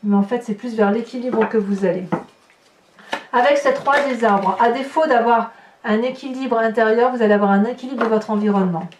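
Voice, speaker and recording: A woman with a slightly high voice gives an improvised tarot reading to her audience. She comments card by card with exclamatory, astonished asides. The sound is clearly roomy and a little distant from the microphone, with faint noise behind it. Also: a little fast, a little mellow. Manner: exclamatory, astonished asides while turning cards. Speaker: tarot reader and channel host. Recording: roomy echo, distant mic, background noise.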